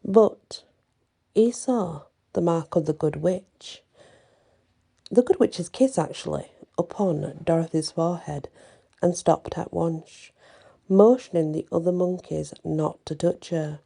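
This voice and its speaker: A woman telling a bedtime story in an ASMR style, with deliberate, dramatic phrasing. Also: lowish voice, vocal fry, warm tone.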